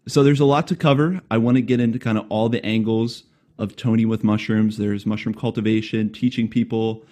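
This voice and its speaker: A man's voice: on the low side, fairly even intonation, brisk, mellow. A man hosting a podcast, reading a prepared intro and then asking rambling, friendly questions with light jokes. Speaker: podcast host. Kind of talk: scripted intro, then casual interview.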